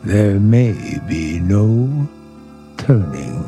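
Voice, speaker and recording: A man giving an ominous, grave scripted introduction to a suspense drama, deliberate and dramatic. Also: fairly low pitch, very animated, over music.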